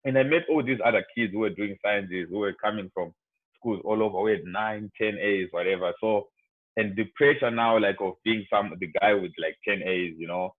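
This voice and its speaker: Young Zimbabwean man, low voice, chatty and rambling student speaker, dry tinny video-call sound. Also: moderate pace, dry recording. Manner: rambling, heavy on "like" and "you know". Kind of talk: casual talk to students over a video call.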